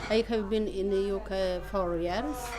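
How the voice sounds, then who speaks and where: A woman's voice: medium pitch, measured pace. A woman from Poland with a Polish accent, a teacher speaking broken English with many hesitations. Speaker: teacher seeking work.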